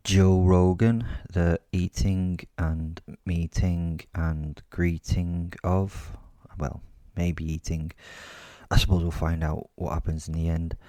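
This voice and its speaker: Low-voiced, offhand male podcast host. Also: mellow, dry recording, studio quality. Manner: offhand, irreverent, mildly sweary. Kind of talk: casual episode introduction.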